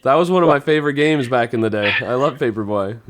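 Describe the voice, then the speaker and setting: A man's voice: mid-range voice, fast talker, noisy background. Middle-aged male podcast host, mid-range voice, informal and conversational, chatting among a group. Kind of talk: casual round-table chat.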